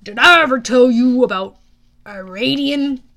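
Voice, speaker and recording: A woman voicing a haughty, bitter, menacing male wine god in an audiobook, with a sneering, deliberate, pompous delivery. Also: sits high, fairly bright tone. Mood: sardonic. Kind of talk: audiobook character voice.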